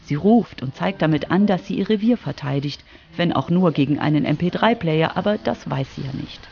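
Creaky-voiced radio feature narrator. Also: medium pitch, lively intonation, a little fast, dull audio, slight background noise. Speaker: narrator, reporter.

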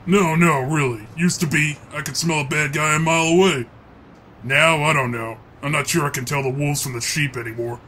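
A man's voice: a little high, steady tone, normal speed, sharp tone, slight background noise. A man playing a jaded, brooding police lieutenant in a comic book dub, with clipped cop talk. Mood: weary doubt. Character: world-weary, brooding male police lieutenant on stakeout.

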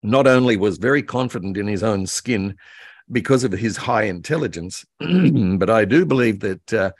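An older man around seventy, reminiscing in an interview in a halting way, repeating words as he thinks. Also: on the low side, expressive, dry recording. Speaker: guest expert, author and voice actor. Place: indoor room by a window, video call.

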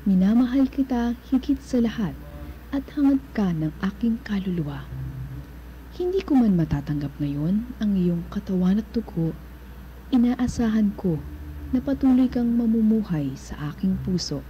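A woman leads a devotional prayer in a calm, devout voice. The sound is a bit dull and muffled, with faint ambience behind her. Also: medium pitch, normal speed, a little hiss or hum. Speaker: prayer leader.

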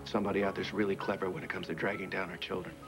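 An older man acting in a daytime soap, gravely explaining a danger to a friend. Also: sits low, flat delivery, rapid-fire, music in the background. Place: indoor studio set.

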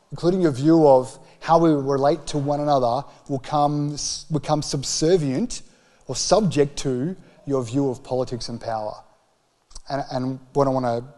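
A man in his forties with an Australian accent, preaching a conversational sermon into a handheld microphone with emphatic, deliberate phrasing. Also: medium pitch, warm tone. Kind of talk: sermon, conversational public teaching. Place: church hall with stage.

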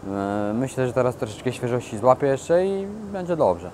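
A man's voice: mid-range voice, very animated, moderate pace, loud music behind the voice. A young man, a soldier and boxer, answering interview questions in an earnest, proud way. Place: outdoors by a glass building. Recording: faint outdoor noise.

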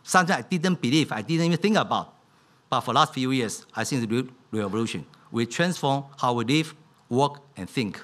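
A man's voice: medium pitch, lively intonation, brisk, fairly bright tone. A middle-aged man giving a keynote speech from a podium in a conference hall, speaking in simple. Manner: non-native English, simplified grammar. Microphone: podium microphone.

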